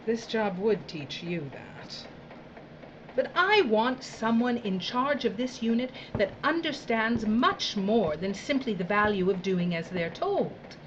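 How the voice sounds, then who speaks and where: A woman's voice: medium pitch, expressive, slow pace, muffled recording, slight background noise. An actress playing a domineering, sadistic female boss in an audio drama, with a condescending, mock-sweet, imperious drawl. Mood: toying, condescending. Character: domineering, theatrical, sadistic female boss of dystopian work crews. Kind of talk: theatrical audio drama acting.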